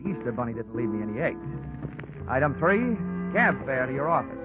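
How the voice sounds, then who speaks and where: An actor playing a cocky, wisecracking freelance investigator with a dry, sardonic manner in a 1940s radio drama. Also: male voice, mid-range voice, sing-song, brisk, narrow, tinny audio, lightly acted. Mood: dry, wry. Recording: thin band-limited 1940s radio recording, music.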